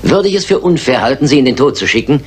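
An actor playing a shrewd, composed detective in a German radio crime drama, speaking precisely and with authority. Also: male voice, mid-range voice, sing-song, fast talker, darkish tone, close to an everyday voice. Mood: cool, probing. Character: shrewd, composed male detective, coolly certain. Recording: older radio play with background noise.

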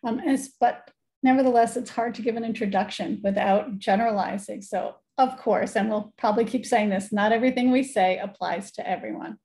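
Mellow middle-aged woman presenting. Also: mid-range voice, fairly quick. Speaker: seminar presenter. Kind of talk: introducing an online seminar presentation. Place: indoor room, video call.

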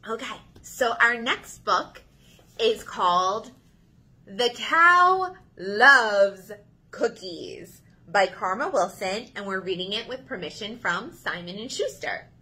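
A woman in her thirties leading a children's story time, reading aloud in an animated, sing-song way to young listeners. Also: mid-range voice, slow, husky, poor audio. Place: small indoor room.

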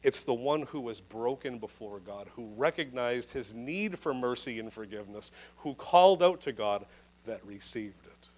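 Pastor guy in his 30s preaching, handheld mic, slows way down on the big words. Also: mid-range voice, animated, a little mellow, rough recording. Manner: earnest, emphatic preaching with slow stressed phrases. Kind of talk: livestreamed sermon. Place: indoor church room. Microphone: handheld microphone.

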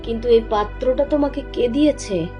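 A woman acts out a poor boatman's wife in a cartoon fairy tale. Her voice is somewhat high, earnest and homely, with music playing behind it. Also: brisk, mellow, close to an everyday voice. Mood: worried, questioning.

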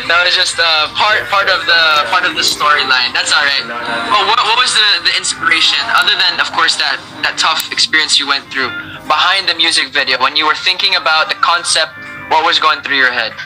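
A man's voice: a little high, fairly quick, over music, low-quality recording. A man co-hosting an online interview, asking long rambling questions with many restarts. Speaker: co-host. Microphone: video call.

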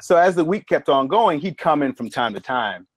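A middle-aged man, a barber guest, chatting casually on a video call and telling stories with lively acted-out quotes. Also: a little high, normal speed, no room sound, clean studio sound. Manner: animated storyteller, acts out quoted lines. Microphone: video call mic.

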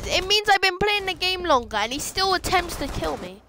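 A woman chatting and joking while playing a shooter game, getting loud and excited in fights. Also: extremely high pitch, brisk, slight background noise. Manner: chatty, jokey, shouts excitedly. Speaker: gameplay streamer. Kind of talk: casual gameplay chat.

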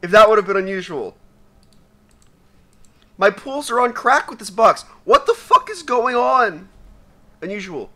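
Young man, raspy and excitable, bright high-ish voice, profane gaming commentary over keyboard clicks. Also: unhurried, long pauses. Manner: excitable, profane, swings between mumbling and shouting. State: raspy from sneezing. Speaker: gaming YouTuber. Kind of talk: casual commentary while unboxing.

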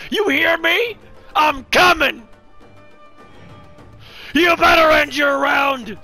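A gaming streamer with a strained, worn-out voice, yelling excited trash talk at an opponent during a card game. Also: high voice. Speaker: gaming content creator. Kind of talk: excited gameplay commentary.

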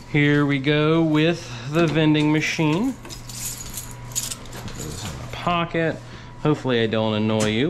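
A man casually narrating as he collects money from his laundromat, talking to viewers in an offhand running commentary. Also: medium pitch. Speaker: laundromat owner filming a vlog. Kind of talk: casual vlog narration while working. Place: small indoor laundromat. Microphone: head or chest-mounted action camera. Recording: noisy action camera audio with clicks.

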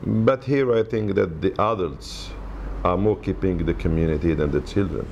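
A middle-aged man answering interview questions from his office, slowly and hesitantly, with many fillers. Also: low-pitched, creaky, darkish tone, dull audio, slight background noise. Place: office by a window.

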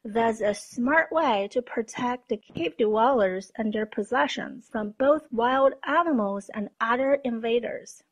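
A woman narrates a scripted documentary with a fairly high voice. The tone is slightly husky. She explains in a measured way, as a presenter. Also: low-quality recording.

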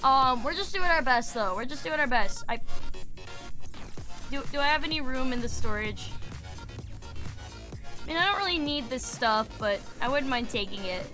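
A woman's voice: high-pitched, animated, normal speed, long pauses. A young woman streaming a game, sick and losing her voice, chatting casually, swearing and shrieking when startled. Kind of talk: casual gameplay streaming chat. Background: faint game music.

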